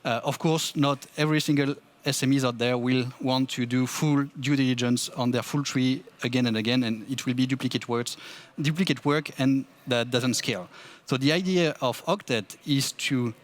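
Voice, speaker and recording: A man's voice: medium pitch, steady tone, moderate pace. A man presenting at a tech conference, often restarting and repeating words. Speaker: conference speaker.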